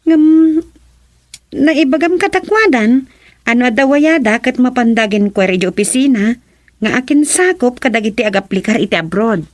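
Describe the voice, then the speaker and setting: A woman's voice: a little high, expressive, normal speed, no echo, lightly acted. A woman playing a worried, fretful townswoman in an Ilocano radio comedy drama, speaking with an Ilocano accent. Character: worried, fretful townswoman wary of illegal recruiters.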